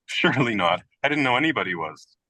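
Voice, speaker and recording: A man's voice: medium pitch, very animated, fast, sharp tone, dry recording, low-quality recording. A man playing a self-deprecating, whimsical American writer in his twenties in a radio comedy, with a wry, wordy, theatrical delivery. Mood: wry, mock-dramatic. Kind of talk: radio play comedy dialogue.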